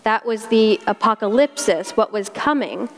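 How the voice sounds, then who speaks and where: A woman's voice: medium pitch, expressive, moderate pace, a little hiss or hum. A woman with a South Carolina accent preaching a sermon in a folksy, conversational way, using homey Southern expressions. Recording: long hall reverb.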